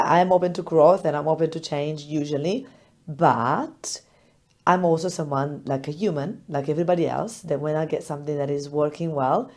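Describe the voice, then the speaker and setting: A woman hosting a business podcast in a casual, chatty way, her voice hoarse and crackly from illness, often laughing as she talks. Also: low-pitched. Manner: chatty and expressive, laughs often, animated retellings. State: hoarse, recovering lost voice. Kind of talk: casual solo podcast talk.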